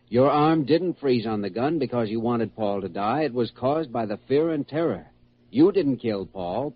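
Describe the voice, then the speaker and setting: Calm american doctor in an old radio show, slightly husky, measured n reassuring, muffled lo-fi sound. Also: male voice, mid-range voice. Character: calm, authoritative male doctor guiding a hypnotized patient. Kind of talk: classic American radio drama acting. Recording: dull, lo-fi old radio recording.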